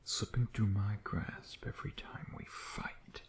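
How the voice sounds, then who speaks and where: Suave male vampire played by a man, deep and unhurried, breathy, teasing, predatory; intimate ASMR delivery. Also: steady tone, close to an everyday voice. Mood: hungry, intimate. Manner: slow, teasing, murmuring with pet names.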